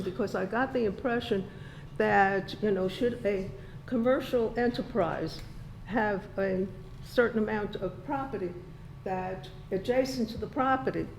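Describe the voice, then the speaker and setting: Halting, creaky-voiced woman in an echoey room. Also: medium pitch. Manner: halting, formal wording. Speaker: resident speaking at a town board meeting. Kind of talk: public comment at a meeting. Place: indoor town board meeting room. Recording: echoey meeting room with background noise.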